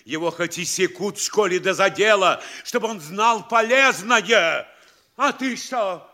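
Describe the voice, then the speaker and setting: An actor playing a pompous, bullying provincial mayor in a Russian comedy audio play, scolding theatrically between sly quiet and bellowing. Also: male voice, high voice, unhurried. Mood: furious, scornful.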